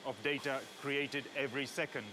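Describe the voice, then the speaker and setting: A man's voice: mid-range voice, little pitch movement, normal speed. A man interviewed as a scientist, speaking emphatically. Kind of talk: documentary interview.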